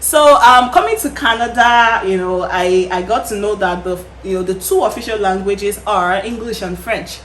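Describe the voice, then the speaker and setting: A woman's voice: mid-range voice, normal speed, hardly any pauses, a bit bright, echoey room, slight background noise. A woman from Nigeria with a Nigerian accent, hosting a casual chat and speaking with many fillers and frequent laughter. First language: Yoruba. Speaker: host.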